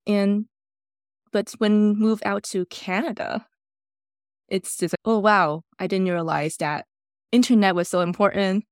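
A woman from Taiwan, a podcast guest telling relaxed stories in loosely phrased English. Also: medium pitch, a little slow, no echo, clean studio sound. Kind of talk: casual interview storytelling.

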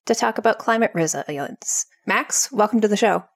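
A woman hosting a podcast, reading a scripted introduction and asking her guest questions in a measured way. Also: medium pitch, expressive. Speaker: podcast host.

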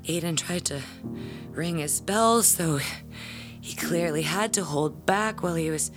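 A woman playing a proud, jealous parishioner and bell ringer in an audio drama, speaking in a pompous, theatrical, halting way. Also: on the low side, music in the background, natural voice. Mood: guilty, dramatic.

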